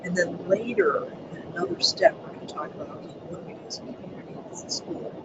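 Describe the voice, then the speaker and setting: A webinar presenter walking listeners through training materials, with a soft voice that does not carry, pausing often with ums. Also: medium pitch. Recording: loud background noise on a webinar mic.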